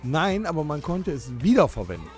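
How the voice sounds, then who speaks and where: A man's voice: a little high, huge pitch swings, a little fast, darkish tone, faint music behind. A man hosting a podcast guessing game among friends, teasing the others and giving hints between laughs. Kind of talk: casual chat among friends.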